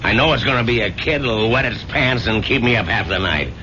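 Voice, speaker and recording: A man's voice: mid-range voice, expressive, fairly quick, bright, forceful tone, slight background noise. An actor playing a brash, colloquial working-class American truck driver and put-upon father in a 1970s radio drama. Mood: wry, grumbling. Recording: dull old radio broadcast.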